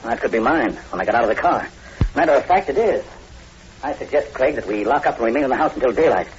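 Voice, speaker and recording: Man acting nervous sceptical doctor, quick delivery, cautious, gentlemanly, old radio drama, muffled recording. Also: mid-range voice, expressive, a little hiss or hum, close to an everyday voice. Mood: uneasy, cautious.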